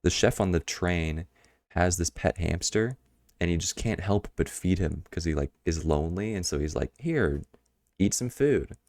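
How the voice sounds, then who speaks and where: A man streaming a puzzle game, his voice a bit hoarse, rambling through casual commentary with chuckling asides. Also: low voice, normal speed, a little mellow, no room sound.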